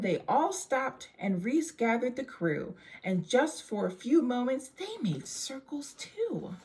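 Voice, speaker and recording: A woman reading a rhyming children's story aloud in a measured, verse-following delivery. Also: medium pitch, a little mellow. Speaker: storybook reader.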